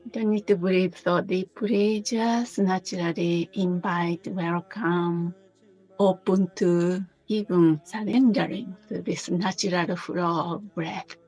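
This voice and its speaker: A woman teaching a guided meditation in a gentle, unhurried manner, pausing between short phrases. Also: fairly low pitch, fairly even intonation, faint music behind, rough recording.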